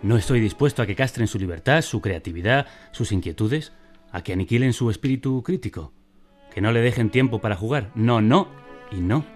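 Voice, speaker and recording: A man's voice: medium pitch, lively intonation, fairly quick, faint music behind, lightly acted. An actor in a satirical radio drama playing an anxious, stubborn, idealistic father, emphatic and repetitive, with a Castilian Spanish accent. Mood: indignant.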